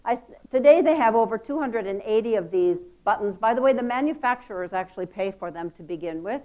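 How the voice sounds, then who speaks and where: A woman with a loud voice giving a lively, chatty conference talk. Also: fairly high pitch, fast, lo-fi sound. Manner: lively, chatty, self-deprecating. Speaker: conference speaker. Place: conference hall.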